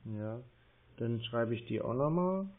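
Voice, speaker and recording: A man's voice: mid-range voice, animated, a little slow. A man talking hesitantly and muddled on a phone call, thinking aloud as he types and reads. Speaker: scam-baiter posing as a confused customer on a call. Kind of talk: slow phone conversation, reading aloud while typing. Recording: muffled, narrow phone-call sound.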